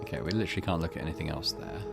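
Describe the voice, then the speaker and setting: A man's voice: a bass voice, very fast, creaky. Man muttering through a game, music behind. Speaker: gaming streamer. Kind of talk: let's play commentary, reading visual novel text aloud.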